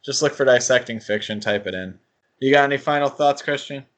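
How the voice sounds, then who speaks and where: A man's voice: mid-range voice, brisk, a bit bright. A man hosting a podcast in a casual, conversational chat style. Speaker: podcast host.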